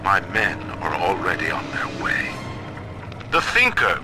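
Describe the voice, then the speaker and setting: A man's voice: deep voice, huge pitch swings, unhurried, music in the background, heavily acted. A man playing a stern, proud middle-aged tycoon who rules his own city in a video game, speaking his audio diaries in pompous, menacing, formal oratory. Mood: cold condemnation. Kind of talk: dramatic recorded diary monologue, formal oratory.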